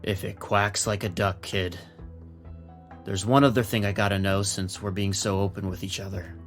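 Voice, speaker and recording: A man playing a shrewd, gruff, plain-spoken police captain in a noir audio drama. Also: on the low side, level tone, moderate pace, faint music behind, lightly acted. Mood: confiding, knowing. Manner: plain-spoken, streetwise, in command.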